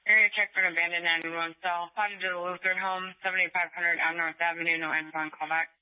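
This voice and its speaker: Man, police dispatcher, fairly high voice, terse delivery, narrow phone-like radio sound. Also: flat delivery, fast talker, clean tone. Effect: police radio transmission.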